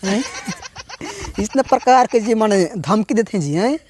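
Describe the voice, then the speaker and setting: A male stand-up comedian with a Chhattisgarhi accent and a hoarse throat, chatting to viewers and laughing often between lines. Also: high voice, lively intonation, normal speed, a little mellow, slight background noise. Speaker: stand-up comedian and channel host. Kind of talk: casual stand-up comedy monologue.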